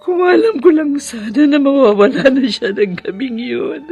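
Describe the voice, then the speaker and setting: A woman acting a tender, devoted widowed mother in a radio drama, with a halting, emotional delivery. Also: high-pitched, a little mellow, muffled recording, soft background music. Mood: tearful, regretful.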